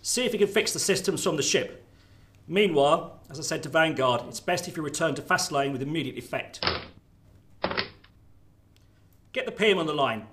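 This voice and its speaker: A clipped, commanding army general. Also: male voice, fairly high pitch, lively intonation, quick pace, a bit bright. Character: brisk, commanding male military general. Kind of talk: radio drama acting.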